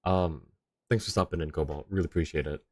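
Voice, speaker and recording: A man's voice: rumbling low, steady tone, fast, no echo. A man hosting a livestream, chatting casually and dropping dry, joking asides as he reacts to a game. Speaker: livestream host. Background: game music.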